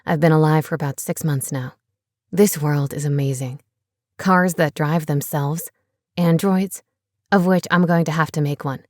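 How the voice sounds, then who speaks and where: A woman reading voicing a man in an old video message, speaking slowly and reflectively. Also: low-pitched, darkish tone, no echo, clean studio sound, voice pushed down, close to an everyday voice. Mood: awed.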